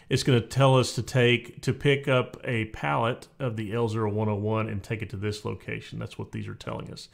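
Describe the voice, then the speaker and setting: A man in his forties giving a relaxed, conversational software walkthrough from a home office, with frequent fillers and restarts. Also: mid-range voice, gravelly. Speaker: software tutorial presenter. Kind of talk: casual screen-recorded software walkthrough.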